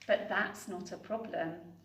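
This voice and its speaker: A woman voicing a hungry, greedy dragon that roars and chuckles loudly. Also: on the low side, steady tone, a little slow, creaky. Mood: gleeful. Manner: loud, gleeful roaring. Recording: roomy, distant mic.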